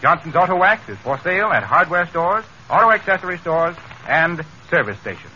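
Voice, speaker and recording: A man's voice: a little high, very animated, a little fast, bright, forceful tone. An American man announcing commercials on 1930s radio with a polished, emphatic sales delivery. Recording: muffled, noisy vintage radio broadcast.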